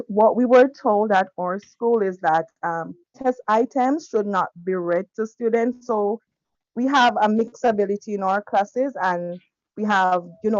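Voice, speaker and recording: A woman's voice: mid-range voice, a little mellow, dry recording, muffled recording. A woman teacher who plainly explains her school's testing rules. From Jamaica.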